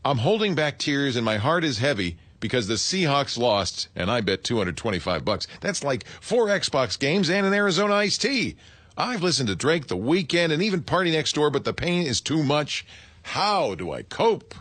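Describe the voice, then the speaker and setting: A man's voice: on the high side, sing-song, normal speed, fairly bright tone, no echo. A man reading listeners' written questions aloud.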